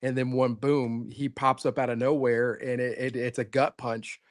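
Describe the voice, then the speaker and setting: A man's voice: medium pitch, level tone, moderate pace, no room sound. Guy co-hosting a sports show, earnest, keeps repeating himself, says man a lot, dry mic. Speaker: co-host, coach. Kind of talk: casual sports chat.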